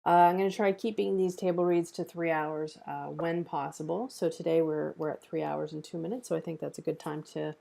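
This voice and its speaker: A woman's voice: low voice, fairly even intonation, brisk, vocal fry, hardly any pauses, dark tone. A woman hosting an online script table read, chatting casually in an appreciative, encouraging way. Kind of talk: casual feedback chat and stream sign-off.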